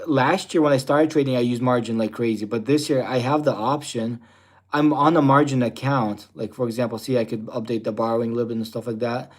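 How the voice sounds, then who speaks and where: A young man chatting loosely on a live stream. He rambles, leaning on fillers like "you know" and "type deal." His voice sits at an ordinary middle pitch. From Russia. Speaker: livestream host. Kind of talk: casual livestream chat reading viewer questions.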